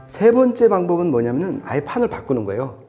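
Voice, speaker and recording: A man with a fairly high, mellow voice talks through audio equipment in a conversational explainer. His intonation moves around a lot, and faint music plays behind him. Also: very animated, quick pace. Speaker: audio reviewer explaining gear.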